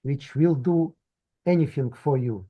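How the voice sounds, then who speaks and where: A man speaking as a tech founder guest in an interview, halting with simple phrasing and pauses. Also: medium pitch, breathy edge, lo-fi sound. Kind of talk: interview answers.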